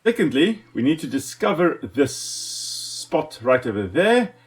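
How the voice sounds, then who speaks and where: Lively South African man narrating gameplay. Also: fairly high pitch, unhurried. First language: Afrikaans. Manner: enthusiastic, chatty, talks to the animals on screen. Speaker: let's play host and ecologist. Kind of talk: casual gameplay commentary.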